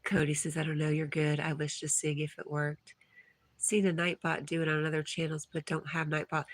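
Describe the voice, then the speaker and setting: Woman streamer, lowish voice, casual chat, reads comments aloud and answers them. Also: a bit bright. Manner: reads viewer comments aloud and replies chattily. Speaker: livestream host.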